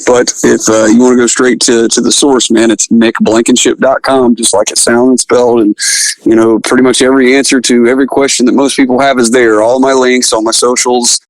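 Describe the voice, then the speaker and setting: A man's voice: medium pitch, level tone, brisk, no echo, rough recording. A man from Ohio, a musician guest talking in a laid-back, friendly way with lots of fillers. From Ohio, USA. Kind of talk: casual interview chat.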